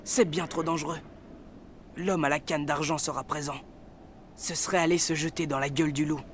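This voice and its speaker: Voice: medium pitch, fairly even intonation, normal speed, fairly bright tone, a little hiss or hum, lightly acted. An actor playing a brave, protective boy in a French cartoon, earnest and gallant. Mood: protective warning. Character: brave, protective boy, friend of a young girl. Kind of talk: dubbed French cartoon acting.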